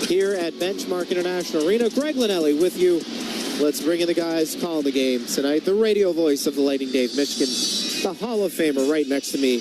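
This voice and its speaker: A man's voice: on the high side, poor audio. A man hosting a live radio hockey pregame broadcast.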